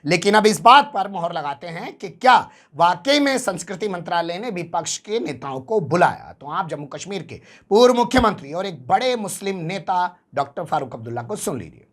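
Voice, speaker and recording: A man's voice: high voice, big pitch swings, a little fast, hardly any pauses, a bit bright. Sarcastic male news commentator. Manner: sarcastic, rhetorical questions to the viewer. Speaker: news channel presenter.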